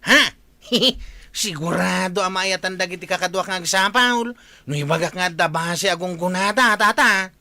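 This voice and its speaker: A man's voice: sits high, normal speed, pushed and bright, dry recording, full character voice. A man with an Ilocano accent playing a self-important, protesting village watchman in a radio comedy. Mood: teasing. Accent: Ilocano, northern Philippines. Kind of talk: radio comedy drama acting.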